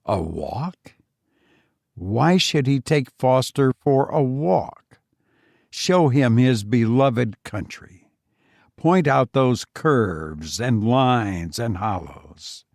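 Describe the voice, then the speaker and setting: A man voicing a bitter, envious man who speaks tersely and low, hiding his hatred, in an audiobook story. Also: animated, extremely slow, vocal fry, a little mellow, dry recording, studio quality, exaggerated voice.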